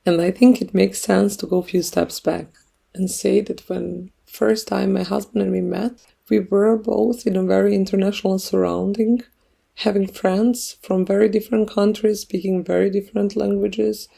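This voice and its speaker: Host, female, 30s, Serbian native: dark, heavy vocal fry, measured and reflective. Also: medium pitch, steady tone. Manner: thoughtful, unhurried, speaking English as a second language. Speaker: podcast host. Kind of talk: casual solo podcast talk.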